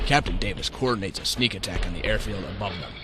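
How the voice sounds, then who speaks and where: A man's voice: low-pitched, quick pace, over music. A man reading scripted narration for an amateur radio war drama. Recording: noisy amateur recording.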